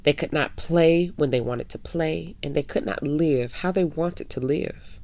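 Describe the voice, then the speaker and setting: A woman with a low-pitched voice reads a children's picture book aloud as a story-time host. She speaks to young listeners in a measured way, stretching words for emphasis. The sound is dry, with very little room in it. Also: darkish tone, no echo.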